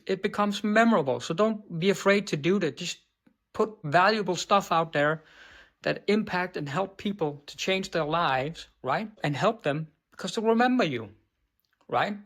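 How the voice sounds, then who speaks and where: A man with a Danish accent coaches on business in a blunt, profane monologue, his voice pitched a little high. He tacks "right?" onto his points and trips over words, then just keeps going. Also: expressive, moderate pace, fairly bright tone. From Denmark. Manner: stumbles and restarts words, unbothered. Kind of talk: direct-to-camera monologue.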